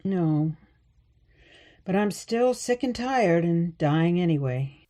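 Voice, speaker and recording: A woman's voice: lowish voice, expressive, slow, dark tone. A woman playing a weary but sweet and wry elderly grandmother in a radio play. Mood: weary, frank.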